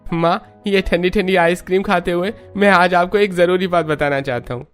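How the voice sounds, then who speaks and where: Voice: mid-range voice, steady tone, moderate pace, soft background music, close to an everyday voice. An actor voicing an eager, polite young man, the youngest son, in a cartoon family story, respectful and earnest. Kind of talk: animated family story dialogue.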